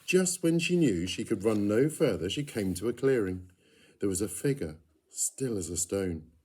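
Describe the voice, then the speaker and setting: Mellow lower-voiced man reading a children's story. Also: lively intonation, moderate pace. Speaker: storyteller reading a picture book aloud. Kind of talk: expressive children's story narration.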